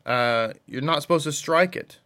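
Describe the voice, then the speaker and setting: A man's voice: on the high side, moderate pace, sharp tone. A man giving an informal scripture lesson as a religious teacher, repeating phrases for emphasis. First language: English.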